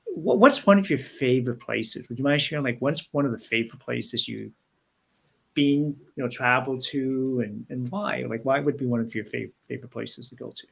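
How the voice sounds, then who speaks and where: A man's voice: mid-range voice, moderate pace, vocal fry, slightly rough. Enthusiastic man hosting. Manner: enthusiastic, stacks praise, filler-heavy with restarts. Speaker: interview host. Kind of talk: casual interview hosting.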